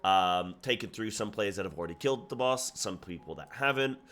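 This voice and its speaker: A man's voice: fairly low pitch, a little fast. Sick, nasal young man chatting animatedly. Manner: chatty, animated, with frequent restarts. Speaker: streamer recapping his week. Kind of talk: casual solo recap to camera.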